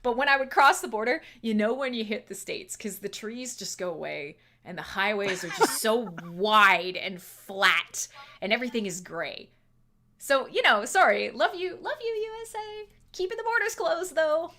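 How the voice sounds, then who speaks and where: A woman's voice: fairly high pitch, moderate pace, bright, forceful tone. A Canadian woman hosting a casual livestream chat, playful and chatty, laughing often as she talks. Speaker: livestream host.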